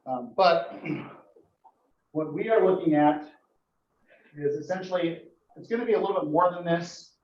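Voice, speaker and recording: A man gives an informal report to a board at a meeting, explaining things in a halting, matter-of-fact way. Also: fairly high pitch. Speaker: emergency dispatch official reporting to a board. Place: indoor meeting room. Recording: echoey meeting room, dull muffled audio.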